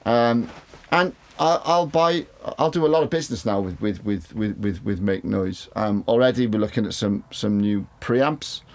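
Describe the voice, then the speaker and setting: A man's voice: lowish voice, moderate pace. A man with a big voice, talking casually to camera about studio gear, rambling, repeating words and swearing now and then. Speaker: mixing engineer reviewing studio monitors. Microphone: studio condenser mic.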